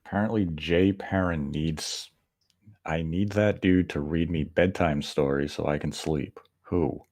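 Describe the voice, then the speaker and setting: A soft-spoken man co-hosting a podcast, reading viewer comments aloud in casual chat. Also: rumbling low, steady tone, unhurried, dry recording, studio quality. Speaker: podcast co-host.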